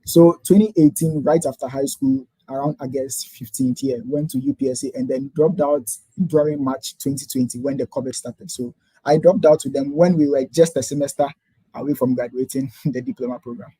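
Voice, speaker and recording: A young Ghanaian man talking quickly and eagerly as an interview guest, laying out his story step by step. His voice is mellow and a little husky, and the recording is dry and close. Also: mid-range voice, steady tone, no room sound, rough recording. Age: 20s. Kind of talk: interview answers.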